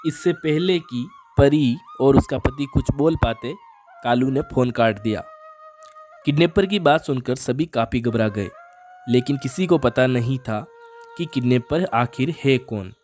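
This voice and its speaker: A man's voice: medium pitch, a little slow, a little mellow. A male storyteller with a mid-range voice narrates a children's cartoon fable. He reads from a script, with background music under him.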